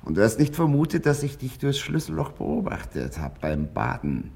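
An actor playing a needy, petulant young man in a radio drama, husky, moving between sulky muttering and bombastic ranting. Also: medium pitch, big pitch swings, moderate pace, darkish tone, full character voice. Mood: sullen confessing. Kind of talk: theatrical radio drama acting.

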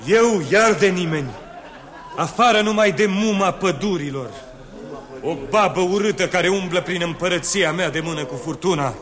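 An actor playing a hearty, boastful old emperor in a 1960s radio drama, declaiming grandly. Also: male voice, fairly bright tone. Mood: grave. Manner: booming, declamatory. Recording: old radio studio tape with crowd.

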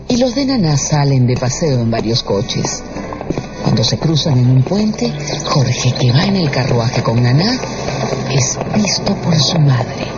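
A man delivering scripted, dramatic narration for a radio drama. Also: on the high side. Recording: dull radio production with music underneath.

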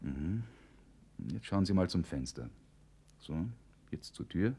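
A man's voice: deep voice, animated, takes it slowly, warm tone, breathy edge, exaggerated voice. A man acting a distracted, condescending psychiatry professor in a radio play, murmuring little hums and repeated yeses. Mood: probing, patronising.

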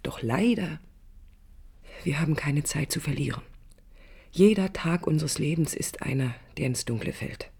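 An actress playing a restless, ambitious young woman architect, narrating her life in a radio drama with literary intensity and a husky voice. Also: low-pitched, lively intonation, measured pace, pauses often, darkish tone, exaggerated voice. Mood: brooding.

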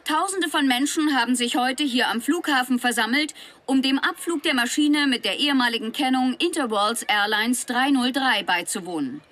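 Female live reporter, high voice, brisk, formal announcing, radio drama news bulletin. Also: fairly bright tone. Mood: solemn, brisk.

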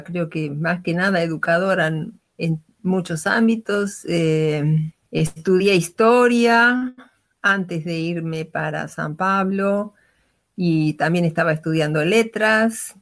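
Woman, sixties, Uruguayan accent, lowish voice, hesitant and reflective storyteller. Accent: Uruguayan Spanish. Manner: hesitant, many "eh" fillers and restarts. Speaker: interviewee, retired teacher.

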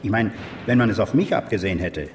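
A quick, lowish male voice from an actor plays a self-important politician in a radio drama. He talks in a hurried, smooth way, with faint noise behind him. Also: animated, lightly acted. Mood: defensive.

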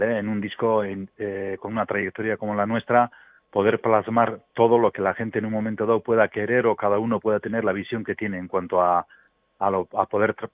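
A middle-aged man from the Basque Country, a native Basque speaker, giving a casual interview as a musician, halting and searching for his words. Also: lowish voice, no room sound. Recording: thin, phone-like band-limited line.

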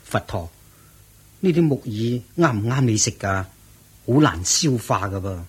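Reverent disciple, slightly low pitch, raspy husk, earnest, attentive, radio drama. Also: male voice, expressive, unhurried, heavily acted. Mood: attentive, protective. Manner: respectful, earnest. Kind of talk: Cantonese radio drama acting.

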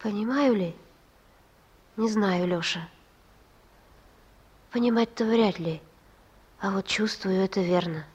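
An actress playing a tender, gently teasing young mother with a folksy, affectionate manner in a Russian radio play. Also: medium pitch, slow pace, pauses often, breathy edge, muffled recording.

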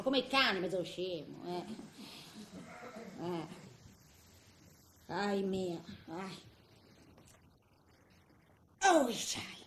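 A feisty old woman with a Tuscan accent grumbles through a comic theatre monologue. Her voice is bright and sharp, swooping up and down, with muttered asides and exclamations. It rings in a roomy, echoing space. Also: fairly high pitch, a little hiss or hum, exaggerated voice. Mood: mocking, grumbling, then grumbling. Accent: Tuscan Italian. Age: older. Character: feisty, grumbling eighty-year-old woman in a nursing home.

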